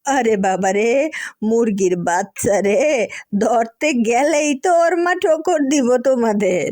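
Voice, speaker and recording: Cartoon farm grandmother, woman performer: elderly, Bangladeshi accent, rustic, gently scolding, higher register. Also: animated, measured pace, dry recording, studio quality. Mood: warning. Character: folksy elderly grandmother who keeps a chicken farm. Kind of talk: cartoon dialogue.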